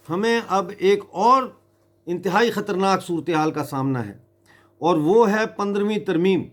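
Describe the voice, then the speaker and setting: This is a man. He delivers a political appeal in a grave, deliberate way. He breaks his sentences with emphatic pauses, as an activist addressing a government would. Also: sits high. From Mirpur in Kashmir.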